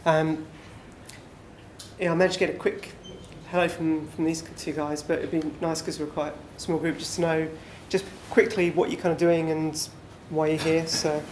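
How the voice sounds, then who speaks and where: A man's voice: fairly high pitch, moderate pace. A man giving a conversational conference presentation to a small group, with lots of fillers. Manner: relaxed, filler-heavy, conversational. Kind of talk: conference talk. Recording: echoey room with faint background noise.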